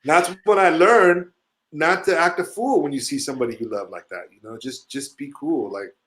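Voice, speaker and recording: A man's voice: on the high side, moderate pace. Profane, rambling male storyteller. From Chile. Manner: rambling, swearing, animated storyteller. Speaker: musician telling stories on a show. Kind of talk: casual storytelling chat.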